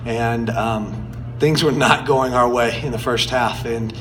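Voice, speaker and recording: A middle-aged man, a basketball coach with a cold-worn, hoarse voice, answering press questions after a game in an earnest, halting way full of fillers and restarts. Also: mid-range voice, steady tone, fairly bright tone, faint music behind. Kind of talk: post-game press conference. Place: press conference room.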